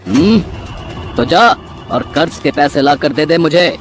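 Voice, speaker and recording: A man's voice: a little high, animated, a little slow, soft background music. A man playing a greedy, bullying village moneylender in a cartoon moral story, smug and menacing in manner.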